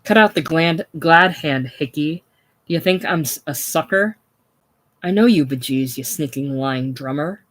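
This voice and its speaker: A reader voicing a gruff, irascible older male saloon keeper who talks loud and blustering. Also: medium pitch, animated, moderate pace, natural voice. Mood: angry. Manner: loud, blustering, snapping at people.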